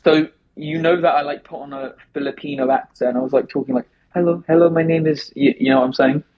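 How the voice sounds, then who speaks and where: A man talking fast and rambling in a casual remote interview, with many restarts. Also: a little high, steady tone, phone-quality sound. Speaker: interview guest.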